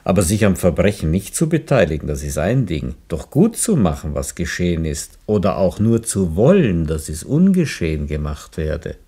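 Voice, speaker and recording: A weary but stubborn rabbi in a radio drama, with a low, dark voice. He speaks with measured insistence and a bitter, sardonic edge, slightly husky throughout. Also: big pitch swings, full character voice. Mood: grave, reproachful. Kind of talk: German radio play acting.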